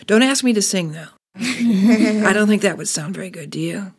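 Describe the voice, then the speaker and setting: A woman teaching children's lessons, hoarse from laryngitis, speaking in a scratchy half-whisper and coaxing the children with questions. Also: medium pitch, lively intonation, moderate pace. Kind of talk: gentle talk to children.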